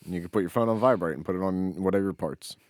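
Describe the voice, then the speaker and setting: Male podcast co-host, lowish voice, playful and emphatic chatter, dry close sound. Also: quick pace, a little mellow, no room sound. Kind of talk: casual comedic banter.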